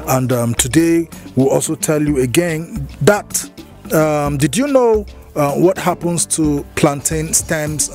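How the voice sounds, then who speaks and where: A man's voice: mid-range voice, darkish tone. Chatty Nigerian man hosting, handheld mic. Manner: chatty, with many fillers and sing-song stresses. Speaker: show host. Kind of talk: casual presenting to camera.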